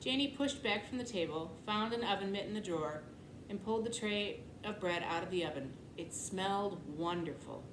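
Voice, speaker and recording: A woman's voice: mid-range voice, lively intonation, moderate pace. Echoey woman reading a children's storybook. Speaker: teacher reading aloud. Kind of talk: expressive children's storybook narration. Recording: echoey room, clear background noise.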